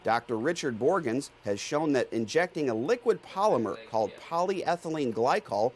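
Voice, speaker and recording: A man's voice: medium pitch, animated, normal speed, husky. A man reading scripted news narration as the narrator of an institutional newsreel.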